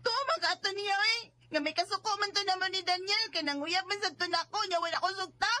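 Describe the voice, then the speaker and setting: Woman doing whiny teen girl talking back, high voice, cebuano filipino accent, bisaya radio drama. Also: fast, sharp tone. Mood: defiant, annoyed. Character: defiant, sulky sixteen-year-old younger sister.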